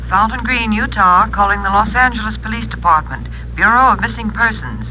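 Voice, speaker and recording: A woman playing a brisk, formal long-distance telephone operator in an old radio drama, with clipped procedural speech. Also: medium pitch, close to an everyday voice. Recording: dull, faintly noisy phone line.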